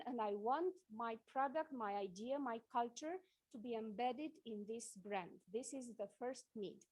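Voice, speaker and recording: A woman from Romania, giving a conference talk with slides, halting often with fillers and restarts. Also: fairly high pitch, a little mellow. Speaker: conference speaker. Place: conference hall.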